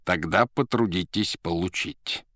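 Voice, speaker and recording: An irritable demonic emissary from a storybook acted out by a man, gruff and bossy. He gives orders with sardonic exasperation and snaps when crossed. The sound is close and dry. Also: sits low, unhurried, dry recording. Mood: curt, instructing. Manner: commanding, exasperated, hissing and yelling when crossed. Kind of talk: dramatised audiobook character voice.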